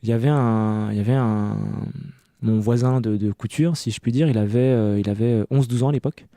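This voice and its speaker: A man in his early thirties from France talks as an interview guest. His voice is very warm and relaxed, at an average pitch, and recorded dry. He tells his story conversationally, hesitating and restarting as he searches for words. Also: no room sound. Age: early 30s. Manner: hesitant, halting delivery with many self-repetitions. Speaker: interview guest, clothing maker.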